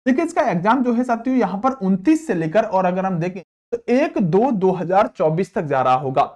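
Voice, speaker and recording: A man's voice: sits high, very animated, a little fast, a little mellow. A man teaching exam candidates in an explanatory, repetitive way, often asking questions and answering them himself. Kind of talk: explanatory lesson addressed to students.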